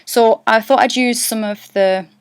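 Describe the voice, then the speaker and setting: Geordie woman vlogging about accents, chatty and a bit self-deprecating, smooth clear voice, dry close sound. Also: fairly high pitch, fairly even intonation, unhurried, dry recording. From North East England. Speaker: actress giving an accent tutorial. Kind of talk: casual to-camera vlog.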